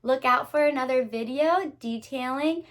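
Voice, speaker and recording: A woman teacher reading a picture book aloud to young children, expressive and dramatic, pausing to put questions to her listeners. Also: high-pitched. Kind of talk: animated children's read-aloud.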